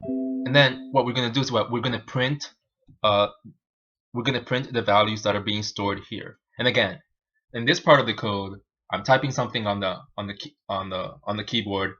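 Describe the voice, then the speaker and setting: Guy w spanish as first language doing a live coding stream, lowish bright voice, kinda halting, talks himself thru it. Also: highs cut off. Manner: halting, informal, self-narrating. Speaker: programming livestream host. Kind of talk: live coding tutorial, thinking aloud.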